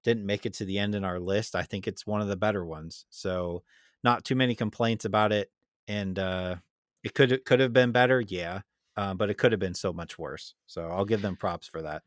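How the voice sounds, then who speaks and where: A man's voice: fairly low pitch, normal speed, no room sound, studio quality. A man co-hosting a podcast, giving a casual review in an easygoing, plain-spoken way. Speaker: podcast co-host.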